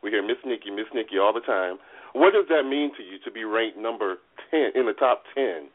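A man's voice: on the low side, animated, fairly quick. A man co-hosting a talk show, asking questions with eager, encouraging enthusiasm. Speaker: co-host. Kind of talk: casual radio talk show chat. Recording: tinny phone-like line.

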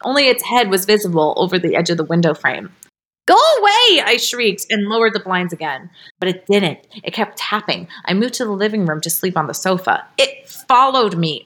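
A woman reading a story aloud as a podcast host, informal and expressive, ready to step out of the narration for asides. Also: mid-range voice. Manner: expressive, breaks character, giggly slips and asides.

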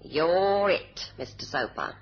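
An actress playing a cool, mocking young kidnapper in a 1970s radio spy drama, teasing and politely menacing. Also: female voice, low-pitched, lively intonation, slow pace, husky, full character voice. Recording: dull old radio recording.

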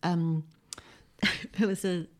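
An English woman with a slightly low voice, speaking as an interview guest. She talks in a relaxed, conversational way with a wry edge, often interrupting herself to rephrase. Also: very slow. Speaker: interview guest, poet and fiction writer. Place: indoors, at home.

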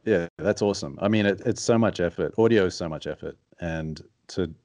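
A man with a very deep, low-pitched voice that comes out mumbly and soft-spoken. He talks quietly and reflectively in a casual interview, close to the microphone. The sound is dry and close, with no room around it. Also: a little fast, dry recording. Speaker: podcast guest, author and strategist.

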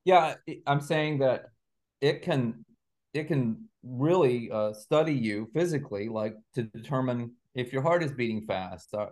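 A man's voice: medium pitch, measured pace, darkish tone. A man speaking as an interview guest, explaining conversationally and carefully qualifying his points like a teacher.

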